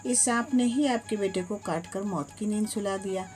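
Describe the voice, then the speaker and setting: A woman's voice: mid-range voice, normal speed, a little mellow, soft background music, lightly acted. A woman voicing an indignant male hunter who seeks punishment in a storybook tale. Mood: accusing. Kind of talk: storybook narration.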